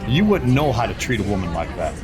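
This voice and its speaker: A man's voice: on the low side, big pitch swings, fast, a crowd in the background. A man playing an airline passenger who teases his seatmate and grows anxious in turbulence. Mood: chiding. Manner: chiding, anxious. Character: nervous, teasing male airline passenger. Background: music.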